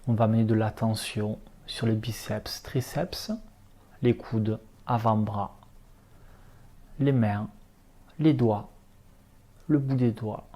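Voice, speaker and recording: A man leading a guided relaxation meditation as an instructor, speaking calmly in short, unhurried instructions. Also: fairly low pitch. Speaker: yoga nidra relaxation instructor.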